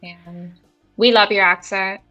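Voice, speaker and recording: A woman co-hosting a casual live stream, upbeat, reading viewer comments aloud and quick to apologize. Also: medium pitch, steady tone, sharp tone, muffled recording, faint music behind. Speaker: podcast co-host.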